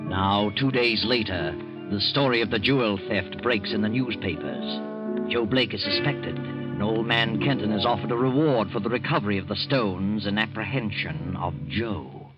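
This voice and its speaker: A man narrating a 1940s radio drama in a measured, dramatic manner. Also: on the low side. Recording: muffled 1940s radio broadcast with loud music.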